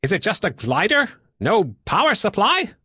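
A man reading an audiobook and voicing a brisk, excitable, blunt and curious pilot with emphatic delivery. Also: fairly high pitch, breathy edge, no room sound, studio quality, pitched above the performer's own voice, heavily acted. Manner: exclamatory, emphatic. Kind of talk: audiobook character dialogue.

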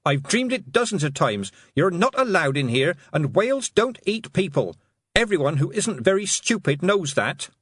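Male narrator doing a bossy, stubborn young girl, high and emphatic. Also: very animated, normal speed, a bit bright, breathy edge, no room sound, studio quality, heavily acted. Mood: angry. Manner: insistent, firm and lecturing.

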